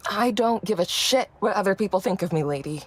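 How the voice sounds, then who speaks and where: A woman's voice: mid-range voice, lively intonation, fairly quick, husky. An actress playing a guarded, hard-edged, defiant woman in a horror audio drama, terse and curt. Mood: hostile.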